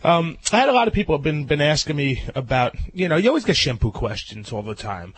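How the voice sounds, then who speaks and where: A congested man with a noticeably nasal voice chats on the radio as a guest expert. His pitch sits a bit high and moves around a lot. He rambles through his explanations with plenty of fillers. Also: sing-song, quick pace, breathy edge, no room sound, low-quality recording. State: congested from a cold. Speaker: dog groomer guest. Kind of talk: casual advice chat.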